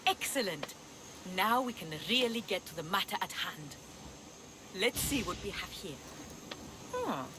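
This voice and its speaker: An actress playing a sly, flippant pirate schemer in a video game, with a teasing, casual way of talking. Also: female voice, mid-range voice. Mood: brash, intrigued. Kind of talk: video game cutscene dialogue acting. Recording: clear background ambience noise.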